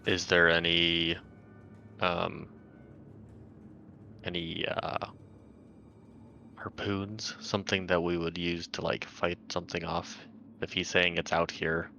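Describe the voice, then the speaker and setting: Low creaky guy player, casual hesitant questions, dull lo-fi mic. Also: flat delivery, slow, long pauses, bright, forceful tone. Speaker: tabletop game player. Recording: dull sound, faint background noise.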